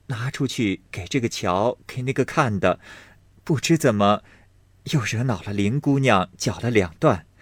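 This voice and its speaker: A man voicing a gentle young maid in an audiobook reading of a classic novel, speaking softly and mildly. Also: medium pitch, husky, no echo, lightly acted. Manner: soft, hushed, mild.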